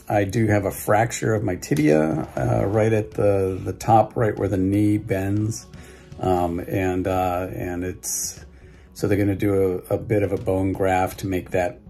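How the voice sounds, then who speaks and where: An older man with a lowish, mellow voice talks straight into a close phone camera in his living room. He speaks in a halting, casual way, full of fillers and restarts, as a soft music bed plays faintly underneath. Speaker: hiking vlogger. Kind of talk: casual update to viewers. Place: living room at home, indoors. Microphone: phone or webcam at close range.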